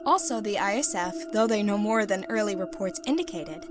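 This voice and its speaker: A woman playing a composed, ruthless female secret agent, giving a formal, measured science-fiction mission report. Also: mid-range voice, animated, close to an everyday voice. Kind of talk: scripted dramatic reading, mission debrief. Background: background music.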